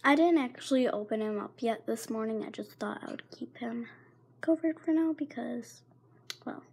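Teen girl w sore throat, kinda high voice, apologizing a lot, filming on her phone at home. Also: normal speed, creaky, darkish tone, slightly rough. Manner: rambling, apologetic, often drops to a whisper. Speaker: vlogger. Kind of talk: casual pet vlog. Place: carpeted home room, indoors. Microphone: handheld phone camera.

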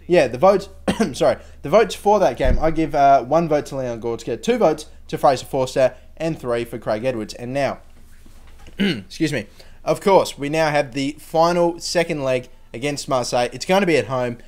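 A young man with a sore, fading voice, casually commentating his own football video game career, rambling and then bursting into excitement at goals. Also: medium pitch, moderate pace. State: sore throat, losing his voice. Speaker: gaming channel host.

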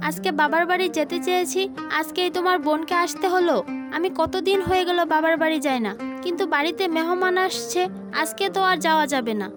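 A woman's voice: extremely high pitch, fast talker, music in the background. A woman voicing an overworked, dutiful young village daughter-in-law in a cartoon family drama. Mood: aggrieved.

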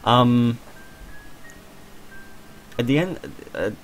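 A man in his twenties with a hoarse voice, talking in a rambling, self-deprecating way about his channel and stumbling over repeated words from a small room at home. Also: medium pitch, drawn-out words, faint music behind, poor audio. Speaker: channel creator. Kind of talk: rambling personal monologue.

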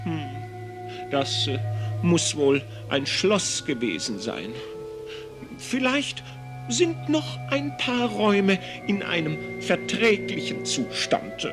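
A man voicing a timid, grumbling grand vizier turned stork, hesitant and excitable, in a children's puppet play. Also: sits high, slow pace, music in the background, full character voice. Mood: timid, reluctant. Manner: hesitant, excitable, comically fretful. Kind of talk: German children's puppet theatre acting.

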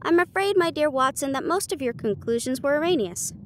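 A woman voicing a sharp, confident male detective with a precise, formal, condescending manner in an audiobook. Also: high-pitched, animated, a little fast, a little hiss or hum. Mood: analytical.

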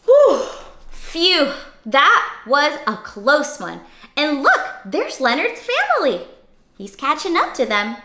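Very lively, high-pitched young woman reading to children. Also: slow pace. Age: young adult. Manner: animated, exaggerated child-directed delivery with questions. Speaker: children's read-aloud host. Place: small furnished room indoors. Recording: roomy, echoey home room sound.

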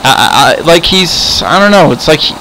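A man chatting casually in improvised game roleplay, rambling and often repeating words haltingly. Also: a little high, expressive, bright, forceful tone, slight background noise, rough recording. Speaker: host and player in a roleplay game video. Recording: music behind the voice. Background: music.

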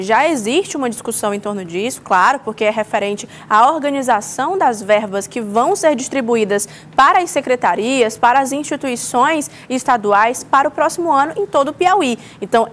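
A young woman political reporter explaining the day's news in a TV studio with a steady delivery. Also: high-pitched, slight background noise.

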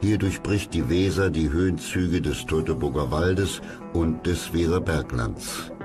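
A middle-aged man reading scripted history documentary narration in a measured, factual way. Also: very deep voice. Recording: clear music under the voice.

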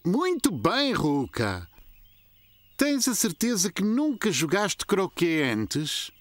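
A man's voice: high-pitched, wildly swooping pitch, slow pace, exaggerated voice. An actor with a European Portuguese accent voicing a friendly, sporting older man in a children's cartoon.

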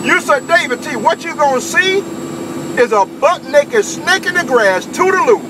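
Southern male CB operator, very high-pitched, animated and teasing, repeats his lines, clear radio noise. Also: normal speed. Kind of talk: bantering CB radio chat. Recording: very noisy CB radio transmission.

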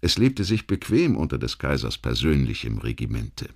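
A man narrating a history documentary audiobook, reading a literary text in a measured, articulate way with rhetorical emphasis. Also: a bass voice, huge pitch swings, gravelly. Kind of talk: scripted documentary history narration, read aloud.

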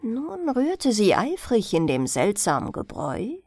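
A woman narrating a fairy tale as a bedtime audiobook, in an expressive, unhurried storytelling manner. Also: mid-range voice, no room sound.

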